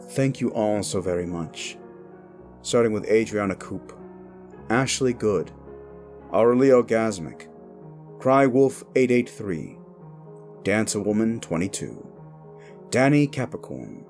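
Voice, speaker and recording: A man speaking to his audience as an audio roleplay creator, with theatrical, deliberate phrasing. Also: fairly low pitch, darkish tone. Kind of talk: outro addressing the audience.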